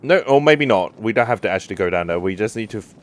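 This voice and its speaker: A man's voice: fairly low pitch, animated, fast talker, a little hiss or hum. A man giving casual let's-play game commentary with hesitant, murmured reactions. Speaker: gamer, let's-play host. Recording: game music behind. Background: game music.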